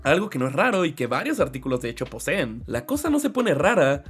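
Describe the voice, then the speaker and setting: A man's voice: on the high side, very animated, fast. A man reads humorous scripted video narration in a conversational, mock-serious way with sarcastic asides. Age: 20s. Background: background music.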